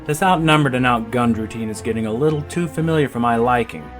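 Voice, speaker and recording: Male fighter pilot, acted by a man, mid pitch, sardonic, gloomy, sci-fi radio play. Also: fast talker, faint music behind, close to an everyday voice. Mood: dry, pessimistic. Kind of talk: sci-fi audio drama acting.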